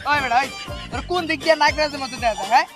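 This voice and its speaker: A man's voice: very high-pitched, very fast, music in the background. A man playing a brash, mocking sidekick in an amateur village comedy drama.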